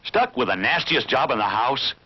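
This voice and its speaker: A man delivering a vintage commercial sales pitch as an announcer. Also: big pitch swings, moderate pace. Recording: dull, noisy vintage ad audio.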